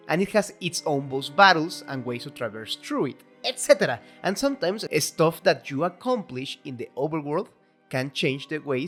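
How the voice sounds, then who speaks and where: A woman with a non-native English accent, talking in an excitable, casual video commentary, swearing now and then and joking at her own expense. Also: sits low. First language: not English. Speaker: video essay host. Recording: faint background music.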